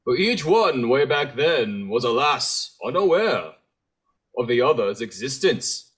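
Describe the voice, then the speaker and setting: A man playing Santa Claus as a theatrical storybook narrator, speaking in rhyme with a husky voice. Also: mid-range voice, big pitch swings, measured pace, a bit bright, exaggerated voice. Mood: storybook, grave.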